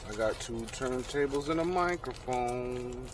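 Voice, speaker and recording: Slow-talking man muttering over loud vehicle noise. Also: medium pitch. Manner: muttering, sweary, slangy. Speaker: truck driver vlogger. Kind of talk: casual hands-on install vlog, talking to self. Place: inside a truck cab. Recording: noisy truck cab, vehicle noise.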